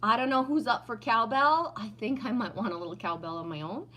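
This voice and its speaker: A woman's voice: a little high, fairly quick, pushed and bright. A woman in her fifties from Texas, hosting a casual livestream, teasing playfully, laughing often and reading out chat comments. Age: 50s.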